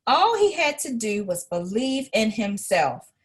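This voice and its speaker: A woman reading a children's story aloud in an expressive way, as an educator would to a young listener. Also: a little high, measured pace, breathy edge.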